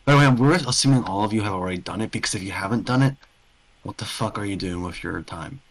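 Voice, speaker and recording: A man with a slightly low voice talks through a game on a podcast. He is informal and unscripted, building sentences piece by piece and often rewording them. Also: fast talker. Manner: loose, self-correcting, piecemeal phrasing. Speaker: podcast co-host. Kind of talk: casual, unscripted gaming podcast chat.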